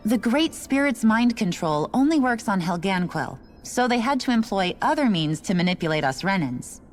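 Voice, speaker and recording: An actress playing a resigned, matter-of-fact station worker in a video game, explaining things in a casual, unbothered way. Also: female voice, mid-range voice, very animated, moderate pace, faint music behind, lightly acted. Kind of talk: video game cutscene voice acting.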